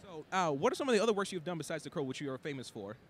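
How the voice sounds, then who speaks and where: A man's voice: fairly high pitch, very animated, rapid-fire. A man interviewing a guest in a casual, conversational style. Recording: noisy location with ambient background.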